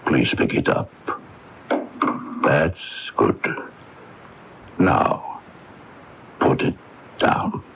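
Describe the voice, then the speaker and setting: An actor playing a coldly polite, menacing mob boss in a radio mystery, speaking formally and deliberately. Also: male voice, extremely low pitch, lively intonation, creaky, heavily acted. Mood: stern, commanding. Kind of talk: radio mystery drama acting. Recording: dull, noisy AM radio recording.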